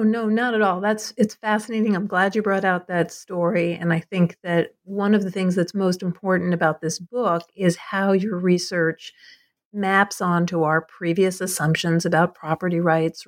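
Engaged woman host, lowish voice. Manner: engaged, some stumbles. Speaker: podcast host. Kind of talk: interviewing an author.

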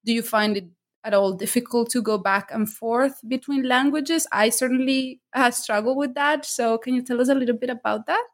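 A woman's voice: a little high, steady tone, moderate pace. A woman hosting an interview podcast who reads a formal introduction and asks long, enthusiastic questions.

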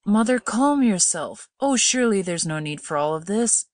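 Woman acting an earnest young man, lively intonation. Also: mid-range voice, normal speed, no room sound, studio quality, lightly acted. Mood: anxious, coaxing. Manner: earnest, impatient, reasoning. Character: straightforward, exasperated young man pleading with his mother. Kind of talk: dramatised audiobook reading of old-fashioned fiction.

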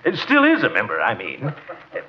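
Pompous, blustering club man, wildly animated delivery, old-time radio comedy, muffled sound. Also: mid-range voice, moderate pace, slight background noise. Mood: flustered, formal. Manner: blustering, hemming and hawing. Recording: muffled vintage radio recording.

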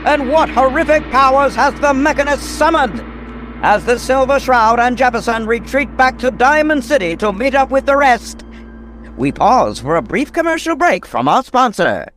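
A man's voice: very high voice, lively intonation, moderate pace, fairly bright tone. A man playing a breathless, dramatic narrator of an old-time radio serial.

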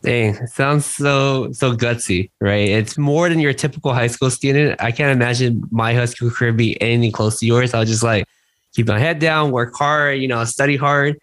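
A man hosting a podcast, asking questions in a relaxed, chatty way with lots of "like" and "man". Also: medium pitch, moderate pace, no room sound. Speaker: podcast host. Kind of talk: casual podcast interview.

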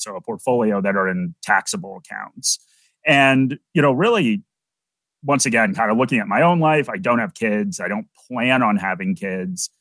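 A middle-aged American man, a podcast guest, explaining investing in a relaxed, conversational way with many "you know"s. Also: on the low side, no room sound, poor audio. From United States. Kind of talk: podcast interview.

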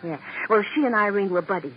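A sentimental showbiz booking agent in an old radio crime drama. The voice is fairly high, plaintive and rambling, on a muffled recording with faint hiss. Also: male voice, lively intonation, fast talker. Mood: resigned, chatty.

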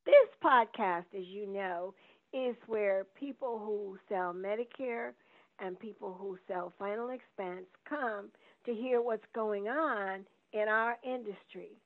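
A woman's voice: medium pitch, slow. A woman hosting a podcast, an insurance seller who introduces her guest in an upbeat, emphatic way. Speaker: podcast host, Medicare plan seller. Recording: tinny phone-line sound.

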